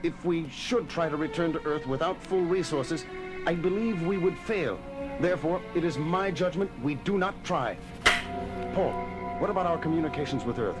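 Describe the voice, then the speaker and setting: An actor playing a resolute moon base commander in a science-fiction audio drama, grave and authoritative. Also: male voice, a little high, normal speed, close to an everyday voice. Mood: solemn. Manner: authoritative, grave, clipped orders. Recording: loud dramatic music bed behind.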